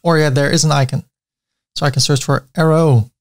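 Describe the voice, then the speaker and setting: A man's voice: a little high, fairly even intonation, fairly quick. A man with a Dutch accent giving a chatty screen-recorded web design tutorial, with self-mocking asides.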